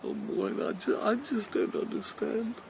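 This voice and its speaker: An actor playing a gentle elderly man, humble and bewildered, in a radio drama, speaking in a hesitant, tender, self-deprecating way. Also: high-pitched, wildly swooping pitch, moderate pace, gravelly, background noise, exaggerated voice. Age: older. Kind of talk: BBC radio drama acting.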